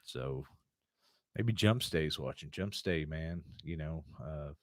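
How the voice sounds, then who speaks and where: A man with a low, deep-set voice co-hosts a relaxed livestream conversation. He rambles along with plenty of fillers and a laid-back ease. The sound is dry and close, with no room around it. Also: slow pace, no room sound. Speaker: podcast co-host. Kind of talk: casual livestream chat.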